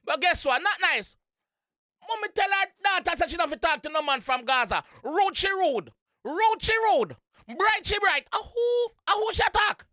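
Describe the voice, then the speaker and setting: Give this voice a high pitch and a bright, forceful edge. The speaker is a Jamaican reaction host who riffs playfully on song lyrics, repeating phrases and breaking into singalong. It is recorded dry and close, with music in the background. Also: very animated, normal speed, no echo. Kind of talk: casual music reaction commentary, singing along and riffing on lyrics.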